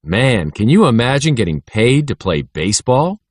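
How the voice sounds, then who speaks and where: A man reading a children's story aloud as an audiobook narrator, with expressive delivery. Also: mid-range voice, moderate pace, husky, dry recording, clean studio sound. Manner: expressive, voices dialogue between schoolboys. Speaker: audiobook reader.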